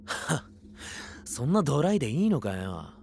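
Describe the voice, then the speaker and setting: An actor playing a brash, cocky gang-boss warlord in an anime audio drama, with rough slangy speech and swagger. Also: male voice, medium pitch, big pitch swings, normal speed, a little mellow. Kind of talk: Japanese anime voice drama acting.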